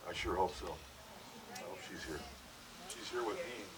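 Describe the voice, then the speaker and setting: An older man holds a handheld mic in a backyard and speaks to a gathered crowd, his voice low and loose with fillers. The recording picks him up from a distance, with the murmur of many guests around him. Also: normal speed. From Hawaii. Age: 60s. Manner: rambling, joking, with fillers and restarts. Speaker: widower hosting a memorial gathering. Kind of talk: casual heartfelt speech to a crowd. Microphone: handheld microphone.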